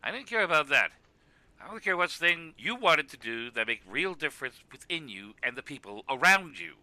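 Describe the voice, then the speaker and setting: A man plays an earnest cartoon co-commentator, loud and heartfelt. His voice is very bright and forceful, cleanly resonant and swinging widely in pitch as he makes his emphatic speeches. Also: big pitch swings, brisk, no room sound, heavily acted. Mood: passionate. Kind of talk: animated web cartoon dialogue.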